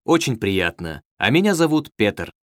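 A man reading as a polite young male student, lively intonation, dry studio sound, careful clear delivery. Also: lowish voice, moderate pace, dry recording. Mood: friendly. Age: young adult. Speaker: dialogue actor in a listening test. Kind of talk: scripted textbook dialogue.

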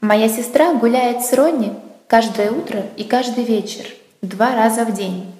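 A woman reading a short first-person story in a calm, plain way. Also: on the high side, normal speed. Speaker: exam listening text speaker. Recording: echoey, reverberant room.

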